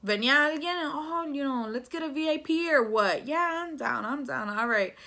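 A woman's voice: high-pitched, expressive, normal speed, bright, forceful tone. A woman, telling a personal story in a casual, slangy way, slipping between English and Spanish. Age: 20s. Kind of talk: casual storytime chat to camera. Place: small plain room indoors.

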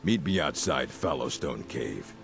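Deep, gravelly, resentful orc chief. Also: male voice, animated, a little slow, soft background music, exaggerated voice. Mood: grudging, indignant. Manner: blustering, grudging, bossy. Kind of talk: scripted video game dialogue.

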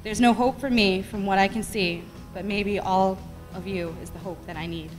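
A woman's voice: mid-range voice, fairly even intonation, moderate pace, over music. Woman reading poetry in a reverberant hall. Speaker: poetry reader. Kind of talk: reading own rhyming poem aloud at an event. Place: library meeting room, at podium. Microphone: podium gooseneck microphone. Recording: echoey hall with long reverb.

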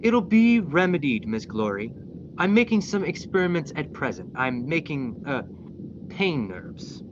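A clinical, matter-of-fact male scientist acted by a man in a radio drama. His pitch moves animatedly as he explains. The sound is muffled, with clear noise behind. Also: big pitch swings, measured pace. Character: clinical, detached male scientist at robot factory. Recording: noisy, dull live drama recording.

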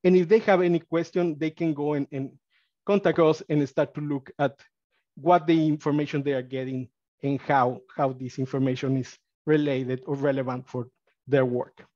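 A man's voice: a little high, dry recording. Mexican-accented man presenting over video call. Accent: Mexican Spanish. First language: Spanish. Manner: halting, many fillers and restarts, gets excited. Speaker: college data analyst presenter. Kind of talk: webinar presentation with screen share. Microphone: computer mic on video call. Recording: dry, slightly dull video-call audio.

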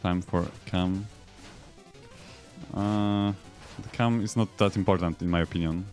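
A young man from Poland with a Polish accent, chatting casually while streaming a game, halting and correcting himself as he reads chat aloud. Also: sits low, fairly even intonation, a little mellow. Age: young adult. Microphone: desk microphone. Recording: game music behind. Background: faint game music.

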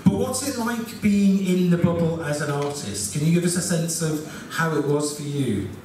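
A man's voice: a little high, lively intonation, normal speed, a little mellow. A man hosting a live panel Q&A, teasing and laughing with his guests. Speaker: panel host. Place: event hall with audience. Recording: echoey hall, distant mic, audible noise.

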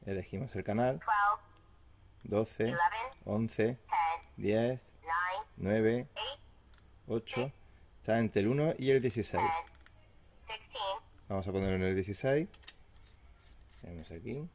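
A man's voice: fairly low pitch, slow pace, pauses often, mellow. A man giving a casual, step-by-step unboxing review, explaining plainly as he goes. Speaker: product reviewer. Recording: clear background noise with handling crinkles.

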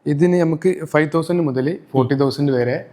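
A man's voice: a little high, normal speed, dark tone. A young man, explaining products casually as a sales representative, in brief and agreeable replies. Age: young adult. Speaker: sales representative being interviewed.

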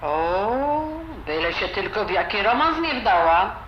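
A woman's voice: mid-range voice, sing-song, measured pace. A woman playing a blunt, disapproving old grandmother with a Silesian accent and grumbling, dialect-filled talk in an audio drama. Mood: grudging. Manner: grumbling, colloquial, full of dialect words. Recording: roomy, dull, noisy home recording.

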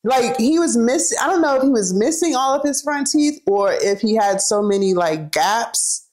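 A woman's voice: fairly high pitch, animated, normal speed, a little mellow. A young woman vlogger chatting casually as she cooks, telling a gossipy story full of "like" and "you know" while walking through each kitchen step. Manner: cooks while talking, narrating kitchen steps.

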